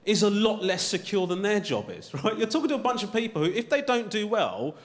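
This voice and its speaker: High-voiced male comic, studio hall. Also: very animated, fairly quick. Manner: conversational, tags lines with "right?". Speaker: stand-up comedian. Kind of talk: stand-up routine. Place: TV studio stage before a live audience.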